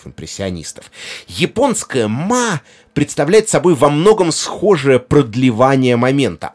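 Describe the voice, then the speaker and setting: A man presenting an explanatory video essay in an erudite, articulate way, with conversational asides. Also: mid-range voice.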